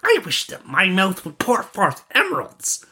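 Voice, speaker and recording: A man voicing an excitable, eager boy, a prince's companion in a storybook, in exclamatory phrases. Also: high-pitched, unhurried, husky, pitched above the performer's own voice, heavily acted.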